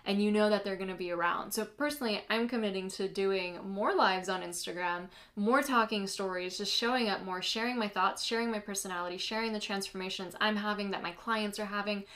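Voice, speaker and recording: Woman talking solo on her podcast, first language was polish, earnest and chatty, mid pitch, lots of likes and you knows. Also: fairly quick, few pauses, fairly bright tone. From United States. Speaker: podcast host and business coach. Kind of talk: casual solo podcast monologue.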